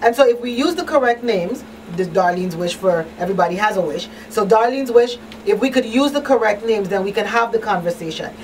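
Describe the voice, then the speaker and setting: A woman's voice: mid-range voice, lively intonation, fairly quick, few pauses. A woman hosting a talk show in a conversational, emphatic and plain-spoken way, repeating key phrases. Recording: distant mic, faint background noise.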